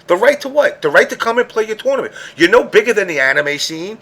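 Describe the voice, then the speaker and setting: A man's voice: big pitch swings, fast, pushed and bright, breathy edge. A man co-hosting a podcast, arguing his point in an emphatic, heated casual debate. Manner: emphatic, argumentative, rising to high pitch when pressing a point. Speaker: podcast co-host.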